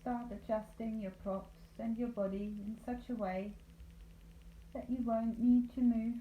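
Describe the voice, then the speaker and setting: A woman leading a guided meditation as a yoga nidra instructor, speaking calmly and soothingly with deliberate pauses. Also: on the high side. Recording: roomy echo, light background noise.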